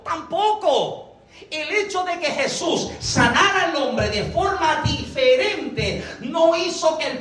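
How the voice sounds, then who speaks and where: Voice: high-pitched, fairly bright tone. A preacher from Puerto Rico with a Puerto Rican Spanish accent delivers a sermon, often posing rhetorical questions and checking that listeners follow. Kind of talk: sermon to a congregation. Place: large echoey room, church service. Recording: echoey hall, distant mic, music behind.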